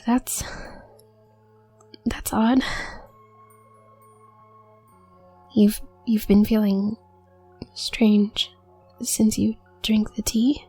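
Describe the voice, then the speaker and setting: A woman playing a shy, anxious, apologetic young witch student in an audio roleplay, with a soft, breathy voice and a heavy nervous stutter. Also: a little high, heavily acted. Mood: nervous, hesitant. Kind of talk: intimate audio roleplay acting.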